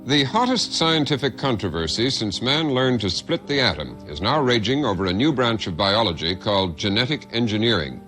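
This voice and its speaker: A man's voice: medium pitch, very animated, moderate pace, few pauses, fairly bright tone, faint music behind. A man reporting 1970s broadcast news in a grave, announcing manner.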